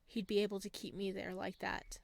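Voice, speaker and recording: A woman reading a visual novel aloud as a let's player, casual and expressive, with jokey commentary. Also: mid-range voice, fairly quick, vocal fry, a little hiss or hum.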